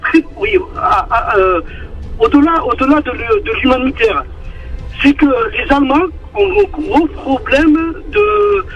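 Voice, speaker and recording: A man with a southern French accent calling into a radio show, speaking earnestly with many halting restarts over a muffled phone line. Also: very high voice, lively intonation, a bit bright, faint music behind. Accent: southern French, Marseille-like. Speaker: caller. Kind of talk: phone-in opinion on air. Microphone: telephone.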